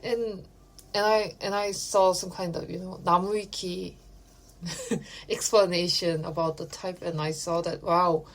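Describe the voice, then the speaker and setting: A woman's voice: fairly low pitch, fairly even intonation, a little slow, rough recording. A woman whose first language is Korean, co-hosting a casual podcast chat in English, talking hesitantly with many fillers. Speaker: podcast co-host.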